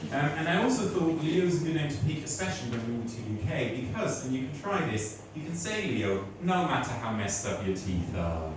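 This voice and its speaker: A man with a German accent works a comedy crowd, his pitch leaping up and down between dry asides. The sound is very roomy and picked up from some distance. Audience noise hums clearly behind him. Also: animated, normal speed. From Switzerland. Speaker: stand-up comedian. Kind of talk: stand-up comedy set. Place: comedy club stage before a laughing audience.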